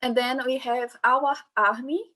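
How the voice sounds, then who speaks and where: A young woman from Brazil with a Brazilian Portuguese accent, giving an informal science talk online and pausing often with fillers and restarts. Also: high-pitched, steady tone, bright, forceful tone. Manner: hesitant, many fillers and restarts. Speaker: guest speaker, PhD student. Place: home room, online event. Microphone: computer mic on video call.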